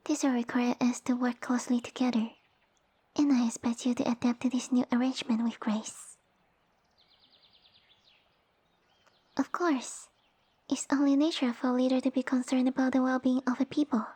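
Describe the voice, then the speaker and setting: A woman voicing a small, sassy female lamia who rules her village, speaking softly and breathily with formal, imperious phrasing in an ASMR roleplay. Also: sits high, little pitch movement, fairly quick, no room sound. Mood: composed, authoritative. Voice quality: soft, breathy, whispery. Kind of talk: ASMR roleplay audio addressed to the listener.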